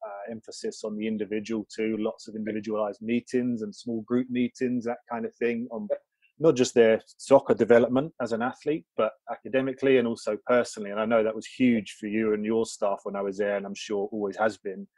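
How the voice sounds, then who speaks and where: A man's voice: lowish voice, a little fast, a little mellow, dry recording. A man co-hosting a podcast, joking and rambling with filler phrases. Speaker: podcast co-host. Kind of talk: casual podcast interview.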